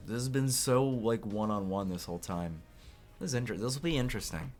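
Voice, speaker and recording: A man's voice: on the low side, lively intonation, brisk, soft background music. A man reading courtroom game dialogue aloud as a let's player, with casual asides.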